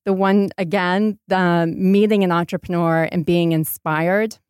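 Female podcast guest, American, lower register, thoughtful and emphatic, conversational, very dry recording. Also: steady tone, measured pace, darkish tone, clear, resonant tone, no echo. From Maine, United States. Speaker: podcast guest, startup entrepreneur. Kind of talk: conversational interview answers.

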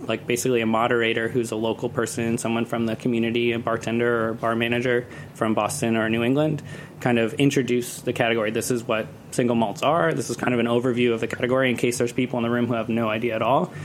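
Man talking evenly over background noise. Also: medium pitch. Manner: chatty, uses "like" often. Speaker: guest, cocktail festival organizer. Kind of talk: casual interview talk, explaining his work. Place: radio studio.

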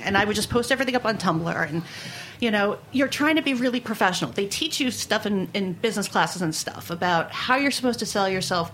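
A woman from Brooklyn, New York, talking candidly and conversationally as an interviewed baker, with animated, sweary storytelling. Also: mid-range voice, slight background noise. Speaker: interviewed baker and food writer.

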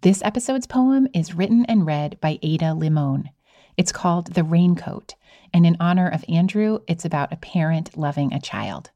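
A woman's voice: on the low side, moderate pace, warm tone, no room sound. A woman hosting a podcast, talking in a reflective style. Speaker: podcast host.

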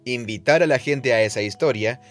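A man's voice: medium pitch, normal speed, soft background music. A man co-hosting a podcast, explaining scripture in conversation and quoting passages aloud. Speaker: podcast co-host, Bible teacher. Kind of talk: conversational teaching.

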